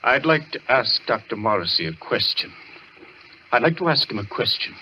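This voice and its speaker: An actor playing a deranged, embittered former surgeon held in an asylum, obsessive, repetitive and accusing, in an old radio thriller. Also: male voice, medium pitch, big pitch swings, normal speed, pushed and bright. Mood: brooding, insistent. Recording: muffled, noisy vintage radio recording.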